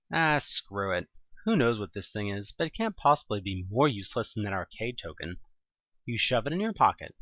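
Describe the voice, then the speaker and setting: Expressive male narrator with lively pitch swings. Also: no room sound, highs cut off. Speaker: audio drama narrator. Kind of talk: dramatic second-person scripted narration.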